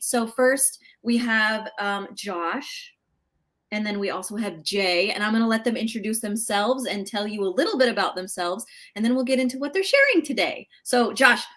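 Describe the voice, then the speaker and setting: A woman's voice: fairly high pitch, normal speed, a bit bright. A woman hosting a live local talk show in an upbeat, chatty, enthusiastic and conversational way.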